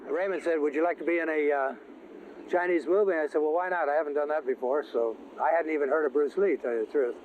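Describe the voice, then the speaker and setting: A man from San Francisco telling an interview story in a relaxed, rambling way with many pauses. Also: on the high side, a little hiss or hum. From San Francisco, USA. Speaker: interviewee, actor. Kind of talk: casual recollection in an interview. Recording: tinny phone-like sound with music.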